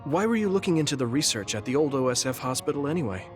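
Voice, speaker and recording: A man's voice: medium pitch, sing-song, fast, natural voice. A man voicing an earnest, loyal young male soldier who speaks sincerely and reassuringly. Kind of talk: game cutscene dialogue. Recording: game audio with music behind.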